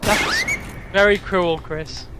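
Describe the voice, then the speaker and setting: A man's voice: high voice, huge pitch swings, takes it slowly. A British man bantering as a game co-commentator, full of wry, jokey asides. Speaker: let's play co-commentator. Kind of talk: casual banter over gameplay. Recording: clear game noise behind voice. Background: video game sound.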